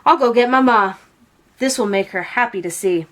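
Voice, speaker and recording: A woman's voice: a little high, normal speed, fairly bright tone, natural voice. A woman voicing a cheerful, encouraging young sister in a storybook, eager and exclamatory. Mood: delighted.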